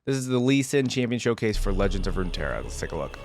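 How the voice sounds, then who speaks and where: A man's voice: on the low side, sing-song, quick pace, background noise. A man hosting a reaction video, commenting casually and informally as he thinks aloud.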